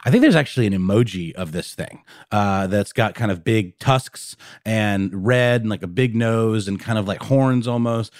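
A Southern American man with a lowish voice co-hosts a relaxed podcast, wandering through his points with plenty of ums and restarts. His voice is recorded close and dry, with no room sound. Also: dry recording. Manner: rambling, lots of fillers and restarts. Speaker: podcast co-host. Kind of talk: casual podcast conversation.

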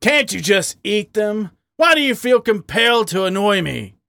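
Guy doing an irritable badger for an audio story, wounded and snappy, jumps up high, very dry recording. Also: big pitch swings, normal speed, vocal fry, sharp tone, no room sound, full character voice. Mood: indignant. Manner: snappy, indignant, emotional. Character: irritable, wounded, hot-tempered male badger lover. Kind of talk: dramatic audiobook character reading.

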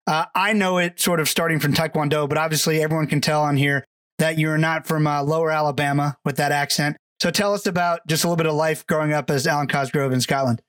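A man's voice: a little high, steady tone, fast, fairly bright tone, no room sound, clean studio sound, recorded from a distance. A man hosting a podcast interview who tells rambling, friendly stories full of fillers. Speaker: podcast host.

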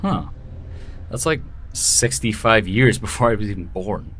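A man's voice: fairly low pitch, expressive, unhurried, fairly bright tone, slight background noise. A man playing a weary, sardonic prisoner in an audio drama, with a dry, sarcastic manner. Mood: wary, curious. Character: weary, sardonic male prisoner in chains from the future. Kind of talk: naturalistic audio drama acting.

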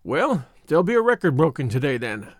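A man's voice: on the high side, sing-song, brisk, dry recording, clean studio sound. A man voicing a rude, scornful English schoolboy scout in a storybook reading, brash and dismissive. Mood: defiant.

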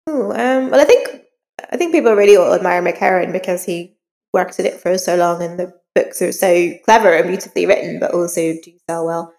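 A woman's voice: fairly low pitch, fairly quick, gravelly, darkish tone. Woman in a very reverberant space, conversational. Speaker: crime novelist, podcast guest. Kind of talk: conversational interview answers. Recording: reverberant hall-like echo.

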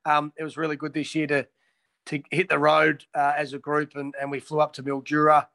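A man's voice: on the high side, monotone, fairly quick, creaky, bright, forceful tone. An Australian man from Western Australia in his thirties, answering interview questions casually with many ums and restarts. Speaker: interviewee, former footballer.

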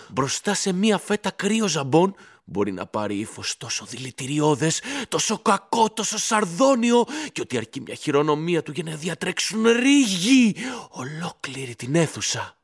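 An actor playing a jovial older tavern drinker in a radio play, exclamatory and emphatic, full of theatrical admiration. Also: male voice, sits high, vocal fry, exaggerated voice.

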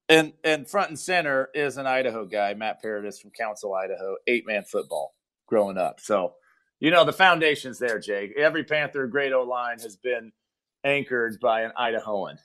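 A man's voice: medium pitch, a bit bright. A football coach with a scratchy voice, chatting upbeat and casual about his team on a regular sports segment.

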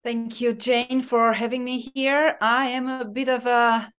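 Slow, hoarse woman with a cold. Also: a little high, little pitch movement, fairly bright tone. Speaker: podcast guest.

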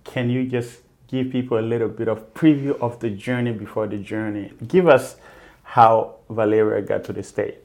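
A man's voice: lowish voice, steady tone, normal speed, darkish tone. A man hosting a podcast in a casual interview, enthusiastic and chatty, laughing often. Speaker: podcast host.

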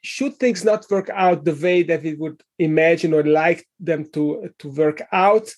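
Hungarian-accented man, fairly high voice. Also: unhurried, darkish tone. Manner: thoughtful, many fillers and restarts. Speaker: guest, leadership coach and author. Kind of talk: conversational interview answer.

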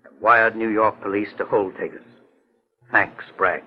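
A man playing a kindly, assured older gentleman detective in a 1940s radio drama. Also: lowish voice, fairly even intonation, measured pace, slightly rough. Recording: dull lo-fi 1940s radio recording.

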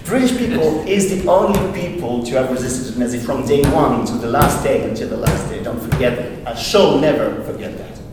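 A man's voice: medium pitch, expressive, brisk, few pauses. A man from France with a French accent, giving a wry, emphatic public speech at a forum launch. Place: large event hall. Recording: echoey hall from far off, noisy.

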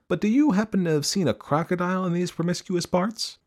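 A man's voice: sits high, brisk, pitched above the performer's own voice, lightly acted. A man voicing a very polite, curious and earnest young elephant in a storybook reading, courteous and long-winded.

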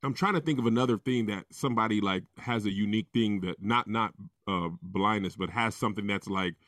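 A man's voice: on the low side, brisk, fairly bright tone, no echo, clean studio sound. A man hosting a casual group podcast chat, joking and punning as he talks. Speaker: podcast host.

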